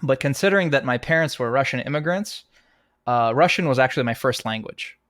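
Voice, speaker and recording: A man's voice: medium pitch, animated, a little fast, fairly bright tone, dry recording, clean studio sound. A young American man, a guest on a podcast, chatting casually and laughing often, with plenty of fillers and restarts. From United States. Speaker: guest, game composer. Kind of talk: casual interview chat.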